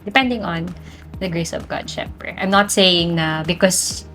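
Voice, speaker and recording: A woman's voice: fairly low pitch, unhurried, fairly bright tone, soft background music. A woman with a Filipino accent in casual, reflective talk as a podcast co-host, mixing English with Tagalog. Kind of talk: casual reflective talk about personal experience.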